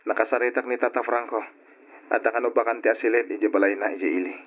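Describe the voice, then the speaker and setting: A man's voice: fairly low pitch, fairly even intonation, fast talker, faint music behind, close to an everyday voice. A man acting a domestic role in an old-time Ilocano radio drama, with an Ilocano accent. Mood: conciliatory. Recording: dull, lo-fi old radio recording.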